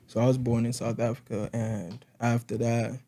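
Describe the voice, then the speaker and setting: A young man in his twenties from Congo, co-hosting a casual podcast and thinking aloud in halting, reflective bits. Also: mid-range voice, level tone, warm tone. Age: 20s. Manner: halting, reflective, restarts often. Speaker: podcast co-host. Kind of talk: casual chat.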